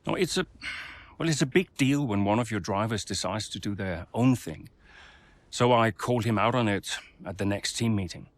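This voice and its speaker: A man's voice: on the low side, normal speed, close to an everyday voice. An actor playing a firm, blunt racing team boss questioning his driver in a naturalistic game cutscene. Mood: matter-of-fact. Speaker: team principal.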